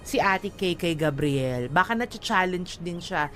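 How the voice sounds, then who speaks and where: A woman's voice: fairly low pitch, animated, a little slow, a bit bright, faint music behind. A Filipino woman co-hosting a radio show, chatting casually and slipping into English. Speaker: radio DJ co-host. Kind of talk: casual chat on a love-story radio show.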